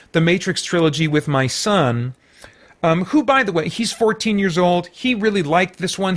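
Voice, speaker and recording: A man's voice: on the high side, fairly bright tone. A middle-aged man giving a casual, unscripted review as host, in a hesitant, rambling way with frequent backtracking.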